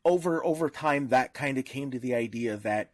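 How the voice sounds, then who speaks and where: A man's voice: mid-range voice, moderate pace, dry recording. Man explaining things on a podcast, dry sound. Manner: didactic, explains with examples. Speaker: podcast panelist. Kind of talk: informal explanatory discussion.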